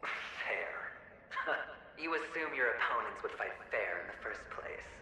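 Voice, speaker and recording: Smug, echoing ghostly tormentor. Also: male voice, medium pitch, lively intonation, pushed and bright, in a whisper, exaggerated voice. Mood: smug taunting. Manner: mocking, theatrical, drawn-out phrasing with chuckles. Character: taunting ghostly male double of a gambler, smug and needling. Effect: ghostly echo. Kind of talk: dramatic video game voice acting. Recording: echoing processed voice under music.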